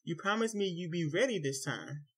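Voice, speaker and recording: A man playing a frustrated husband in a spoken story, speaking low and tight. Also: expressive, moderate pace, breathy edge, full character voice. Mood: stern. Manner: low and tight, dropping to near whisper. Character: frustrated husband, stern and pleading.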